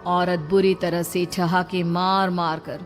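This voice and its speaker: Lady narrating a scary cartoon story, a bit low pitched, soft music under it. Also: little pitch movement, takes it slowly. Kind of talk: scripted horror story narration.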